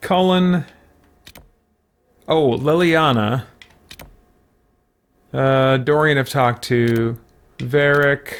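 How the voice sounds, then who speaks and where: A man's voice: mid-range voice, extremely slow, pauses often, clear, resonant tone. A man in his thirties giving casual commentary as he plays a video game, recorded close up indoors. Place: indoor room with shelves at home. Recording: faint game noise and clicking behind.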